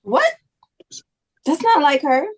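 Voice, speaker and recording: A woman playing an anxious but decisive friend in a dramatic table read, casual, direct and expressive. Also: very high voice, slow, creaky, exaggerated voice. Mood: concerned.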